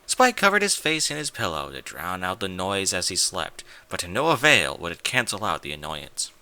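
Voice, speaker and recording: A man's voice: deep voice, very animated, normal speed, pushed and bright. A man narrating a scripted story in a dramatic, theatrical and emphatic manner. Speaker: narrator, reader of fan fiction.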